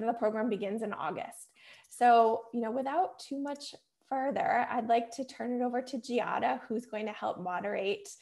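A woman explains an application process over a video call in an unhurried, explanatory way. Her pitch sits in a middle range, and her speech is full of small fillers and restarts. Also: creaky, darkish tone. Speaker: admissions program staff. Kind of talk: webinar presentation.

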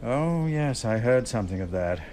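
An actor playing a shrewd, commanding Roman tribune questioning a subordinate in a radio drama. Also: male voice, mid-range voice, animated, normal speed, warm tone, slight background noise, close to an everyday voice. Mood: probing.

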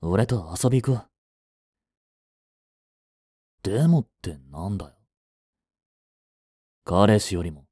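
An actor playing a jealous, hot-tempered teenage male delinquent student in a romantic audio drama, talking in rough slang. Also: fairly low pitch, warm tone, no room sound, studio quality. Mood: pleading, possessive.

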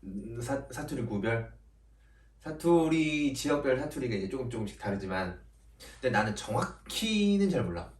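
A man's voice: mid-range voice, very animated, normal speed. A man co-hosting a reaction video, chatting casually and enthusiastically. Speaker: reaction channel co-host. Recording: roomy, distant mic.

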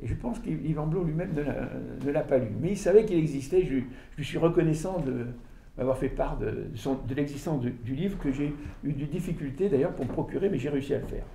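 An older man giving an informal talk about his book to an audience, with halting delivery, lots of fillers and restarts, recorded from a camera across the room. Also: fairly high pitch, gravelly, dark tone, slight background noise. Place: small room with audience, indoors. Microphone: far camera, no mic seen. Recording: echoey room from far off.

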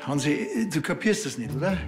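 A man's voice: on the high side, very animated, normal speed, echoey room, music in the background. An actor playing a dry, needling policeman in a TV drama, with a Bavarian accent. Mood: dry teasing.

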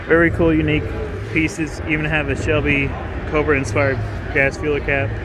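A man's voice: a little high, monotone, normal speed. Young man giving a casual car walkaround. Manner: informal, enthusiastic, many "like" fillers. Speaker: car show videographer. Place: outdoor car auction lot. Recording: outdoor noise behind voice.

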